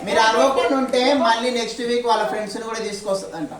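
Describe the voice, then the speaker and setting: A woman with a mid-pitched voice hosts a live puppet show, coaxing and teasing as she prompts. She is heard from a distance in an echoey hall, with a loud crowd around her. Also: rapid-fire. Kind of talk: live banter with a puppet for children.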